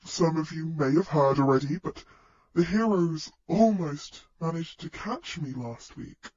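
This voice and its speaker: A man playing a young male villain mastermind who taunts in a slow, theatrical, menacing way on a dull recording. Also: a little high, a little mellow. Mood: mock cheerful. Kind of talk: podfic villain speech. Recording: dull lo-fi sound.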